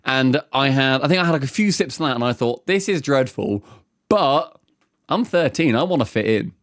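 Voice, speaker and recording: A man's voice: mid-range voice, lively intonation, moderate pace, no echo, clean studio sound. A man co-hosting a podcast, telling a rambling anecdote with lots of ums and laughing asides. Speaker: podcast co-host. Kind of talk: casual storytelling chat.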